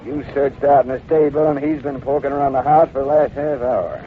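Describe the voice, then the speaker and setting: An actor playing a sullen, defiant Western rancher in an old-time radio drama. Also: male voice, medium pitch, brisk, lightly acted. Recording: muffled, tinny old radio recording, faint noise.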